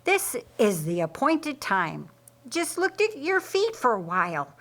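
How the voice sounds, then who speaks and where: A woman narrator voicing a teasing, reassuring brother in a Bible story, with wry, measured delivery. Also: fairly high pitch, wildly swooping pitch, fairly bright tone, voice pushed up, full character voice.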